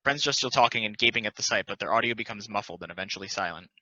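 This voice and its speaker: A man's voice: on the low side, very fast, pushed and bright, dull audio. A man reading stage directions as scripted narration for a play table read.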